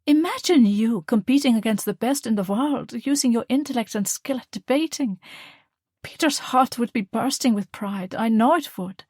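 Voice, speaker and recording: A woman reading the part of a calm, loving mother, speaking softly and tenderly. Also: a little high, normal speed, breathy edge, dry recording, clean studio sound, voice pushed up. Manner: soft, tender, reassuring.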